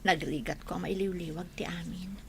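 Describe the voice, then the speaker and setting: A woman's voice: on the low side, steady tone, a little fast, vocal fry, darkish tone, a little hiss or hum. An actress playing a weary, grieving older woman in a radio drama, subdued, with an Ilocano accent. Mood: sorrowful. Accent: Ilocano, northern Philippines.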